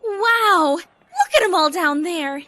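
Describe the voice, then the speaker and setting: A woman playing an excitable, admiring young crew sidekick in an audio drama, bubbly and exclaiming. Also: very high voice, slow, exaggerated voice. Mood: excited, admiring.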